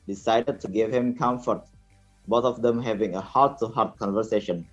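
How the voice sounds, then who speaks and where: A young adult man from Indonesia reading a prepared book summary aloud as a student. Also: lowish voice. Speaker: student reader. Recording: thin phone-like audio with background music. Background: music.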